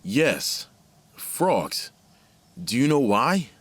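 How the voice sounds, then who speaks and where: An actor playing a worried, gentle middle-aged father in an audio drama, with a soothing, measured manner. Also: medium pitch, sing-song, no room sound, exaggerated voice. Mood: concerned, pleading. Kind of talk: naturalistic audio drama acting.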